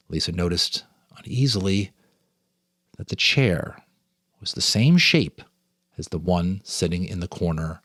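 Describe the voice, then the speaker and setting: A man's voice: low-pitched, breathy edge, dry recording, clean studio sound. A man reading a ghost story aloud as a storyteller, with a theatrical, suspenseful delivery. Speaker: storyteller, host.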